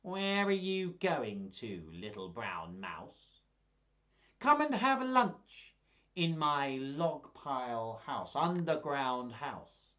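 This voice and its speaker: A reader voicing a sly, hungry male fox in a picture book, slow and coaxing. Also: medium pitch, sing-song, bright, forceful tone, highs cut off, full character voice.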